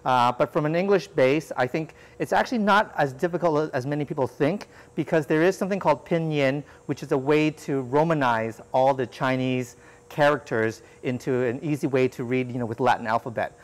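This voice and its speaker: A man whose first language is Cantonese, answering interview questions in a measured, explanatory way with frequent fillers. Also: on the high side. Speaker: interview guest, economist.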